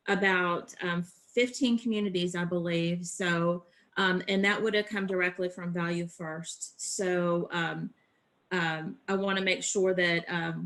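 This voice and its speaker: A woman giving an informal work briefing as a staffer on a group call, halting, with frequent filled pauses and repeated words. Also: lowish voice, fairly bright tone. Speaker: association staffer giving updates on a provider call.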